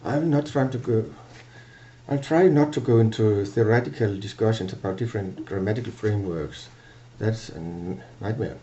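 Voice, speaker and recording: An older man with a Danish accent explaining grammar in a halting, self-correcting way, filmed at a webcam in a small office. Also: lowish voice, mellow, highs cut off. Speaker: language teacher. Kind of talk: lecture to camera.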